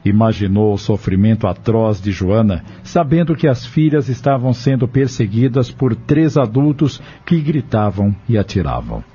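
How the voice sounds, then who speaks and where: A man's voice: lowish voice, animated, normal speed, hardly any pauses, warm tone, breathy edge. A man narrating a dramatic audio novel from a script in a solemn, literary manner. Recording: dull lo-fi audio with music behind. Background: music.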